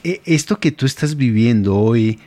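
A middle-aged man hosting a radio interview, reflective and halting, with frequent fillers. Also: mid-range voice, lively intonation, mellow. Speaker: radio host.

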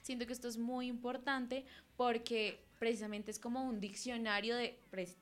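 A woman's voice: on the high side, a bit bright. A woman from Colombia co-hosting a radio program, speaking reflectively and restarting phrases. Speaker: radio show co-host. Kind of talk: educational radio talk.

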